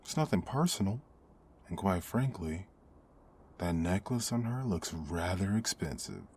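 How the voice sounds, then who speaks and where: A man playing a menacing street mugger in an ASMR audio drama, with a rough, husky voice and a taunting, threatening manner. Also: deep voice, big pitch swings, unhurried, heavily acted. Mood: sneering, menacing. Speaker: voice actor.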